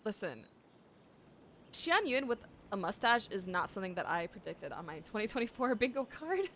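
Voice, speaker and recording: A woman's voice: mid-range voice, very animated, moderate pace, slight background noise. A woman reacting casually to a video game as she plays, with informal, slangy joking asides. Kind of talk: casual live gameplay reaction. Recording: loud game music behind voice.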